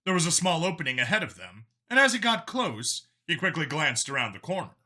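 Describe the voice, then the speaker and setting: A male audiobook narrator delivers dramatic scripted fiction. His voice is very bright and tense, pitched a bit high for a man, with lively, animated intonation. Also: fairly quick.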